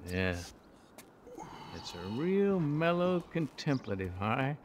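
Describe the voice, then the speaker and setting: A man's voice: on the high side, sing-song, slow pace. A man acting in a video game cutscene as a zealous, rambling stoner activist who campaigns for legalization in an earnest, grandiose way. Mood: eager, earnest. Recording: game scene with street noise.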